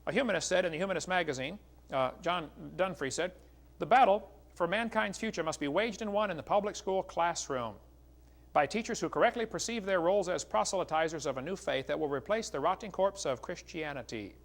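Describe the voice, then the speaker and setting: A man's voice: high voice, brisk. A middle-aged American man giving a religious lecture as an evangelist, in a folksy, polemical way, reading out quotations. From United States.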